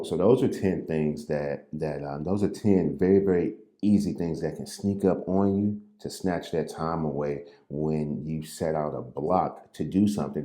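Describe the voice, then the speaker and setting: A man from St. Louis with an exceptionally deep, dark, mellow voice talks other agents through their habits in a loose, unscripted coaching style. His delivery is even and close to monotone, with small restarts and fillers. He stands at his call desk in an echoey room. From Midwest US, St. Louis. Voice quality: naturally low, somewhat monotone voice. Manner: unhurried, loose and conversational, with repeated words and fillers. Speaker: real estate agent and coach. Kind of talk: impromptu coaching talk to camera.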